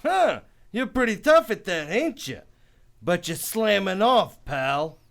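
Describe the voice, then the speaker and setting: A menacing gunman from an old hardboiled radio play, acted by a man. The voice is a little high and gravelly, and he takes his time with every curt, slangy threat. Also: very animated, slow, fairly bright tone, full character voice. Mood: cocky, threatening. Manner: slangy, curt gangster talk. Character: menacing tough gunman out for revenge. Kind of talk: 1940s hardboiled radio drama acting.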